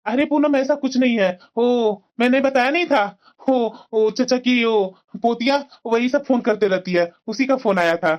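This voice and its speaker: A man's voice: very high-pitched, normal speed, few pauses, highs cut off. A man playing an evasive husband and doting uncle in a moral-story cartoon, irritable at home.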